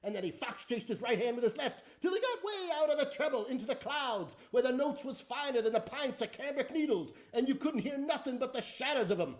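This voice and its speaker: High, excitable Southern country storyteller. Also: male voice, brisk, few pauses. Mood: excited relish. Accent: rural American South. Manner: folksy, exuberant, building declamation. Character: excitable rustic American country man spinning a tall tale. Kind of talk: theatrical dramatic recitation of a comic monologue.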